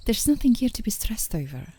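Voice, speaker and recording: A woman playing an affectionate, teasing girlfriend in an intimate ASMR roleplay, speaking softly and soothingly, often in a whisper, close to the microphone. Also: a little high, big pitch swings, normal speed, exaggerated voice. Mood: playful coaxing. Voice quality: soft, breathy, often whispering. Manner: soothing, playfully coaxing, with small chuckles. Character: gently coaxing, teasing, affectionate girlfriend on a park date.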